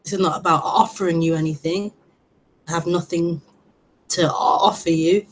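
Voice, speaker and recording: A woman with a stutter, hosting an online meeting and giving a gentle, reflective spiritual talk with frequent repetitions and blocks. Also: lowish voice, fairly even intonation, moderate pace, a little hiss or hum, rough recording. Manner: gentle, reflective, laughs lightly at herself. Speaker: non-duality teacher hosting an online meeting. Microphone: online call broadcast.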